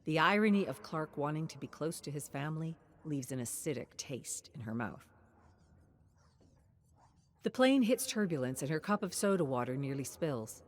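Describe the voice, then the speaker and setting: A woman's voice: sits low, sing-song, normal speed. A woman reading scripted, dramatic story narration.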